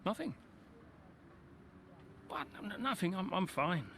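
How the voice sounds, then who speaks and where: An actor playing a proud, prickly veteran racing driver near retirement in a video game, with a curt, weary, blunt manner. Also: male voice, high voice, big pitch swings, takes it slowly, vocal fry, fairly bright tone, slight background noise, heavily acted. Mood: evasive. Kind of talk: cinematic video game dialogue.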